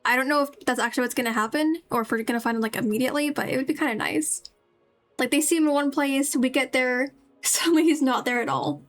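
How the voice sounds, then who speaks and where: A woman's voice: sits high, quick pace. A woman livestreamer giving casual, rambling game commentary as she chats to her viewers. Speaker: livestreamer playing a video game. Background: game music.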